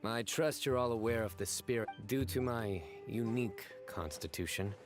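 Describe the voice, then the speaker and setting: A man playing a stern, reserved young desert lawman in a video game, speaking in measured, formal, terse phrases. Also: medium pitch, music in the background, close to an everyday voice. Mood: grave, reserved.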